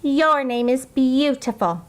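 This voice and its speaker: A woman's voice: high voice, expressive, slow pace. A woman voicing a doting, reassuring mother in a children's storybook, exclaiming fondly.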